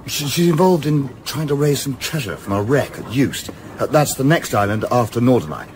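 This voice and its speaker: A man acting an eager, patriotic young English gentleman yachtsman in a radio play, with a hearty but hesitant old-fashioned manner. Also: medium pitch, expressive, normal speed, close to an everyday voice. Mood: intent. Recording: clearly noisy outdoor effects behind voice.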